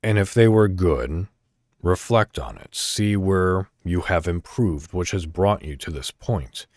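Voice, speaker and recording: Deep-voiced man with swooping, expressive intonation. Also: a little slow, no room sound, studio quality. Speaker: podcast host. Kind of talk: reflective solo monologue addressed to listeners.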